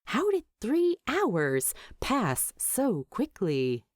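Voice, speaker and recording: A proud dwarf king, played by a woman narrator, dry, brooding and tired of ruling. The delivery is unhurried with big swings in pitch, in a close, dry storybook recording. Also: wildly swooping pitch, dry recording, exaggerated voice. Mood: weary, exasperated.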